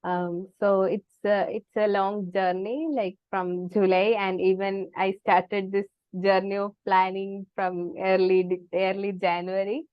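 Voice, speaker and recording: A woman leads an online group session, pausing and restarting often. Her voice is smooth and clear, her delivery slow and flat. The call audio is dull and dry. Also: mid-range voice, dry recording. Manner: hesitant, many restarts and "like" fillers. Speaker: session facilitator.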